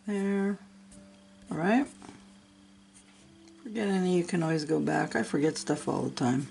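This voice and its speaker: A woman's voice: fairly low pitch, fairly even intonation, unhurried, creaky, darkish tone, slight background noise. A middle-aged woman, a little sick and sniffling, chatting casually and encouragingly as she talks through a coloring tutorial. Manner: chatty and encouraging, talks to herself while working.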